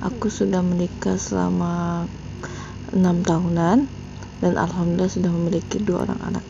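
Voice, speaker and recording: A woman's voice: fairly low pitch, flat delivery, moderate pace, gravelly, background noise. A woman, giving a personal testimony. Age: 30s. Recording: dull, lo-fi voice message.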